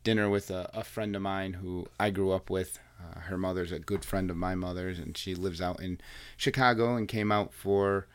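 A man's voice: low-pitched, fairly even intonation, moderate pace, smooth. A man hosting a podcast, chatting with his wife in an earnest, rambling way full of restarts. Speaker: podcast host and coach. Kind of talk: casual chat. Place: home recording room with wife. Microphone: plugged into recording software.

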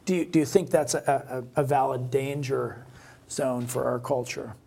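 A man with a mid-pitched voice hosts a discussion podcast. He thinks aloud, circling back and restarting phrases as he builds toward his point. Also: steady tone, vocal fry, darkish tone, breathy edge. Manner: halting, repeats and restarts phrases as he reasons aloud. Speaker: podcast host. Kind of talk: conversational discussion.

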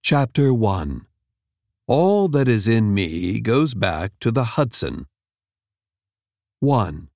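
A man reads a nonfiction audiobook in a measured, clearly enunciated way, close to the microphone. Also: lowish voice, big pitch swings, darkish tone, dry recording. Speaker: audiobook narrator. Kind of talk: scripted nonfiction audiobook narration. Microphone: close studio microphone.

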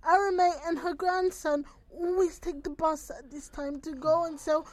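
A woman playing a sorrowful village neighbour in an audio drama, speaking in a plaintive, halting way. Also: very high voice, steady tone, no echo. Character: sorrowful village woman bearing bad news.

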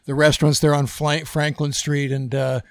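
Chatty podcast host, dry sound. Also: male voice, fairly high pitch, flat delivery, moderate pace, creaky, dry recording. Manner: conversational, chatty questions. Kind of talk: podcast interview.

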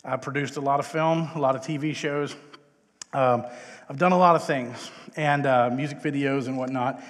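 A man's voice: mid-range voice, fairly even intonation, moderate pace. A middle-aged man with a Texan accent giving an informal, self-deprecating chapel talk as a conversational guest speaker. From Texas, United States. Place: large indoor chapel, live talk. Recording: echoey hall with long reverb.

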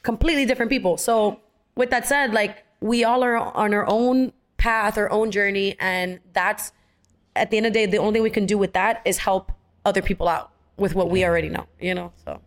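A woman's voice: mid-range voice, brisk. A young adult woman, an actress, telling stories in a casual interview and acting out the lines of people she quotes. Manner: animated, acts out quoted dialogue, many fillers. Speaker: actress guest.

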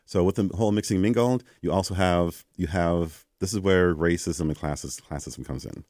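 Low-voiced man telling stories animatedly. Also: moderate pace, no echo, studio quality. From Canada. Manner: animated, acts out quoted exchanges. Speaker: guest. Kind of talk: conversational interview storytelling.

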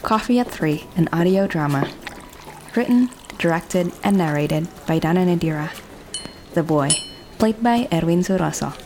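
A woman narrating an audio drama from a script in a measured, understated way. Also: on the low side, animated, background noise. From Indonesia.

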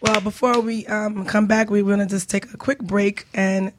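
A woman's voice: mid-range voice, flat delivery, normal speed, some chatter behind, a little hiss or hum. A woman co-hosting a radio talk show, chatting casually with frequent fillers and restarts.

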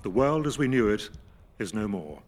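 A British man playing a grave newsreader announcing the apocalypse in a mock radio bulletin, solemn, formal and deadpan. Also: fairly low pitch, moderate pace. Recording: noisy live theatre recording.

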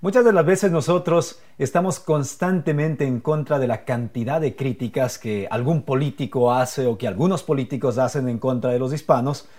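A man's voice: mid-range voice, moderate pace, hardly any pauses, a little mellow. A man hosting a live motivational podcast, speaking earnestly and directly to the viewers. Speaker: podcast host.

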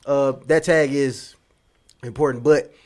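A man in his thirties, a comedian being interviewed, chatting in a relaxed, rambling way and often repeating himself. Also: medium pitch, measured pace, a crowd in the background. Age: 30s. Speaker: guest, comedian. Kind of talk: casual interview chat.

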